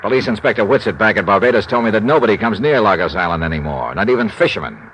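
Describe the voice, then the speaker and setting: An American actor playing a world-weary, wry insurance investigator in a 1950s radio drama, speaking tersely. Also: male voice, on the low side, animated, rapid-fire, a bit bright, a little hiss or hum, rough recording. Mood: wry, probing. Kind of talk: 1950s radio drama acting, hard-boiled narration. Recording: old radio recording with music.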